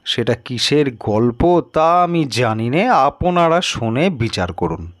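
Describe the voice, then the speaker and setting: A man voicing a courteous, respected retired officer near sixty in an audio story, genial and deliberate. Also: medium pitch, big pitch swings, no room sound.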